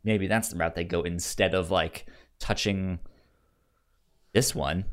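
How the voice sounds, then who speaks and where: A man co-hosting a film podcast in casual chat, haltingly repeating words as he thinks. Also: low voice, fairly even intonation.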